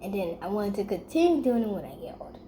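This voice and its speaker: A woman's voice: mid-range voice, sing-song, fast talker, dark tone, slight background noise. A daughter in a casual chat with her mother, shy and giving short answers, often giggling. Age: young.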